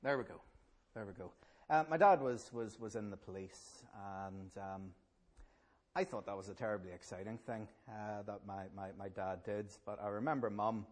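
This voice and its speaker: Middle-aged northern irish minister, lowish voice, halting, keeps repeating words. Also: male voice, darkish tone. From Northern Ireland. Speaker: Presbyterian minister. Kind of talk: personal testimony at a public event.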